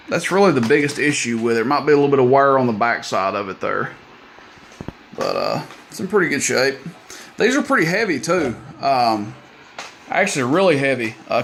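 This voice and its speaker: A man giving a casual, plain-spoken product demonstration of equipment, with folksy, hesitant wording. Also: medium pitch. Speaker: radio seller demonstrating equipment. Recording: amateur video with clear background noise.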